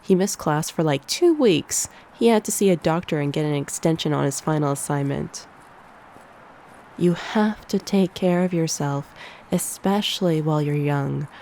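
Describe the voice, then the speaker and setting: Low female voice, actress as teasing succubus teacher, nurturing, slow pace, soft ASMR roleplay, close binaural mic. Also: slight background noise. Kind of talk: ASMR roleplay, one-sided conversation. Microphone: close binaural microphone.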